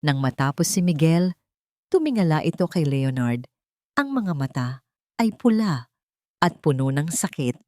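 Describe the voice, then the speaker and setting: A woman narrating a scripted audiobook drama in a measured, emotional way. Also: sits low, very animated, darkish tone, no room sound, studio quality.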